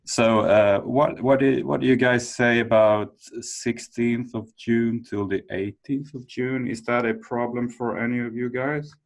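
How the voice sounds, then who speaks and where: A man's voice: medium pitch, fairly even intonation, unhurried, hardly any pauses. A man chairing an informal work conference call, hesitantly thinking aloud. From Sweden. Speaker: meeting chair.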